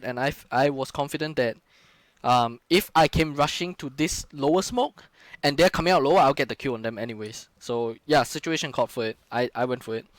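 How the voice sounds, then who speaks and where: A man's voice: a little high, dry recording. A young man, casually talking through his own game footage on a live stream, loose and full of fillers and curses. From Singapore. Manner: casual, frequent swearing and fillers.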